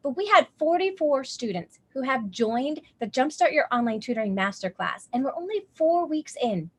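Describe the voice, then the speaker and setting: A woman's voice: sits high, sing-song, normal speed. A woman hosting an online tutoring masterclass, bubbly and gushing, with lots of "like" fillers. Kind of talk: enthusiastic webinar hosting.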